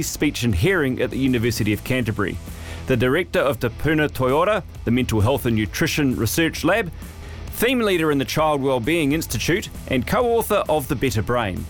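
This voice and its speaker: New male host, mid-pitched voice, scripted intro, friendly questions. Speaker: podcast host. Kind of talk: podcast interview.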